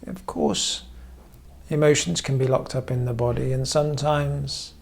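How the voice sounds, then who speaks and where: Guy doing guided meditation, slow n measured, lots of vocal fry, pauses between little phrases. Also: medium pitch. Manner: measured, pausing often between short phrases. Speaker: therapist leading guided meditation. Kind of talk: guided meditation, gentle instruction.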